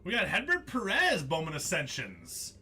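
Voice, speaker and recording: A man's voice: a little high, wildly swooping pitch, normal speed, sharp tone, a little hiss or hum. A young man hosting a live trading-card break stream, chatting casually with viewers by name, laughing often as he reads out the cards he pulls.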